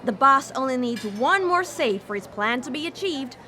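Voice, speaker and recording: A woman's voice: sits high, animated, normal speed, sharp tone. A woman playing an earnest, admonishing guardian angel in a radio drama. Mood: chiding, encouraging. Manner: preachy, admonishing. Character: earnest female guardian angel, gently chiding mentor.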